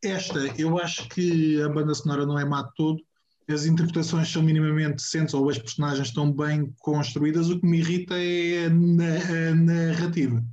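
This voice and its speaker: A man's voice: on the high side, little pitch movement, brisk, darkish tone. A man, co-hosting a casual podcast chat and recommending a film, talking with many fillers and restarts. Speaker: podcast co-host. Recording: echoey, muffled room with faint noise.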